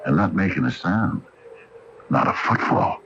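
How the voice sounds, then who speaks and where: A very deep, animated small-town storekeeper, gallant and teasing. It is radio drama from 1980, heard through a dull AM broadcast with faint hiss. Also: male voice, unhurried. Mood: baffled.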